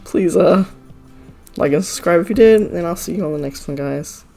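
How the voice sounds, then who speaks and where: A man talking in a loose, rambling voice-over, hoarse and gravelly after being sick, a little stuffy, full of "like" and "uh" and quick apologies. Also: sits high, warm tone. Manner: rambling, with many fillers like "like" and "uh", apologetic. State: hoarse, gravelly voice from a recent illness; slightly stuffy nose. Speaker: art channel creator. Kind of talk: casual voice-over chat. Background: faint background music.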